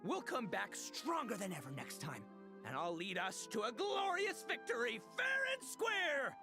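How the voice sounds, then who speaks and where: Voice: medium pitch, wildly swooping pitch, unhurried, music in the background. An actor playing a brash, melodramatic, overconfident young braggart in a video game, boastful and theatrical. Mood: rallying, boastful.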